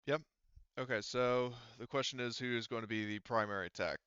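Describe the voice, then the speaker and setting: A man's voice: mid-range voice, fairly even intonation, a little fast, a bit bright, clear, resonant tone, no echo, highs cut off. A man running a tabletop role-playing game, explaining things casually and hesitantly as he thinks aloud. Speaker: game master.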